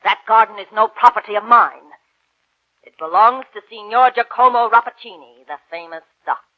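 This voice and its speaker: Woman acting a high-voiced, brusque landlady. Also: normal speed, natural voice. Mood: sly, knowing. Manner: scornful, dramatic, emphatic. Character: wary, brusque Italian landlady in old Padua. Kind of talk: 1940s radio acting. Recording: dull, lo-fi 1940s radio recording.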